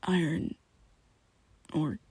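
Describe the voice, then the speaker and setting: A man voicing a young male vampire best friend in an ASMR roleplay, whispering softly and haltingly as he confesses. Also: dry recording. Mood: hushed confessional. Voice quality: soft close whispering.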